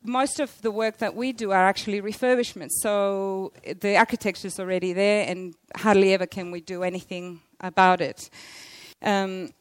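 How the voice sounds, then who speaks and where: A middle-aged woman with a loud voice giving a conference presentation, hesitant, with many fillers and restarts. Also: medium pitch, fairly even intonation, normal speed, clean tone, no echo. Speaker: conference speaker, library interior designer.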